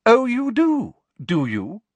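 A man voicing a prim, sugary, vindictive middle-aged female teacher in a smooth, condescending manner for a storybook. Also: medium pitch, sing-song, unhurried, no room sound, pitched above the performer's own voice. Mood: shrill, indignant.

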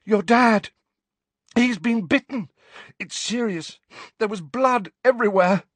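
A man's voice: sits high, takes it slowly, dry recording, voice pushed up. A man voicing a panicked teenage wizard boy in an audiobook, breathless and urgently insistent. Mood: panting, desperate. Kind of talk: audiobook character acting.

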